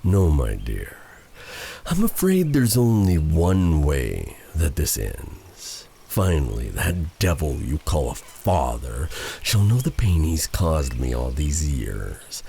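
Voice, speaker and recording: A man playing a vengeful, silky dark sorcerer in a fantasy ASMR roleplay, taunting and theatrical, with breathy dips toward a whisper. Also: very deep voice, huge pitch swings, slight background noise, heavily acted. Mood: gloating, menacing. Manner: taunting, theatrical, drawn-out delivery with purred endearments.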